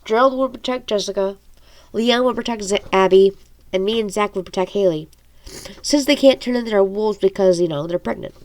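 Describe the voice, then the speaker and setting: A woman with a mid-pitched voice and a stuffed-up nose reads a fantasy story aloud. She is an amateur audiobook narrator, informal in her delivery, and she trips over words here and there. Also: fairly quick, darkish tone. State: congested nose from allergies. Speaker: amateur audiobook reader and author.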